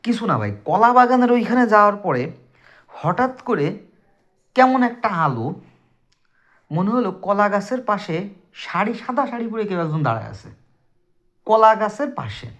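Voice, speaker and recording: A man's voice: sits high, sing-song, moderate pace, long pauses, a bit bright. A man with a southwestern Bangladeshi Bengali accent telling a personal story casually and emphatically, talking straight to his listeners. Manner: conversational, emphatic, addresses listeners directly. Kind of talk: casual spoken personal ghost story to friends. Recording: dull lo-fi recording.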